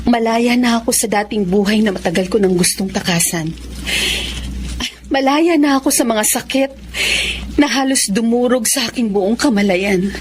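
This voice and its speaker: An actress playing a resolute woman lawyer, speaking an emotional, deliberate monologue in a radio drama. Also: a little high, a little hiss or hum, natural voice. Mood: relieved, emotional. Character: Samantha, resolute woman lawyer freeing herself from a painful marriage.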